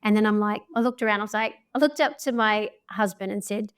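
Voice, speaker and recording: A woman's voice: medium pitch, fairly quick, clean tone. Australian woman, podcast guest, talkative, laughs through her stories, easy conversational delivery. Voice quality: chatty, laughs often. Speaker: podcast guest, travel creator. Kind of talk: casual storytelling chat.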